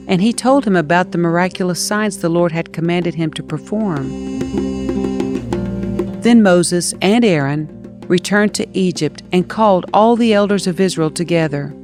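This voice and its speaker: A woman narrating scripture as an audio Bible reader, in an expressive, measured storytelling style. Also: on the low side, music in the background.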